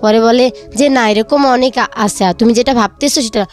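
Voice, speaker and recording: A woman's voice: fairly high pitch, normal speed, faint music behind. A young woman with a Bangladeshi accent telling her personal life story as an interview guest, a student. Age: young adult.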